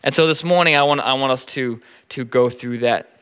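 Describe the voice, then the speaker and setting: A man in his thirties with a Nebraskan accent, preaching a sermon in a halting, informal way that repeats words often. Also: medium pitch, fairly bright tone, clear, resonant tone, in a large hall. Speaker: pastor.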